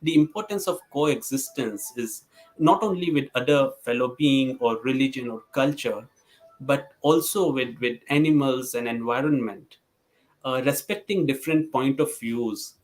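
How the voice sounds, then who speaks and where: Male panelist, middle-pitched, hesitant and earnest, thinking aloud in a podcast discussion. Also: moderate pace, poor audio. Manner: hesitant, searching for words. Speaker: parent panelist.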